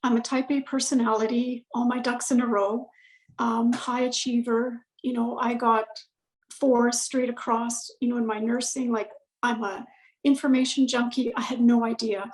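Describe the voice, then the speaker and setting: Chatty woman, gravelly voice, echoey call. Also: a little high, flat delivery, normal speed. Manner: chatty, many fillers and self-corrections. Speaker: client giving a testimonial. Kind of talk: casual conversational testimonial.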